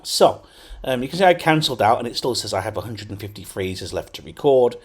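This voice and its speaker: A man's voice: medium pitch, lively intonation, brisk, husky. Man, tech tutorial host, casual, chatty, fillers. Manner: chatty, lots of fillers and okays. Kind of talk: casual screen-recorded tutorial.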